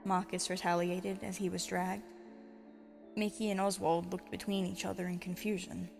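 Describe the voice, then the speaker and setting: A woman's voice: fairly low pitch, level tone, moderate pace, vocal fry, a little mellow. A woman who reads her own horror fan story as narrator and chats casually as its author. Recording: music under the voice.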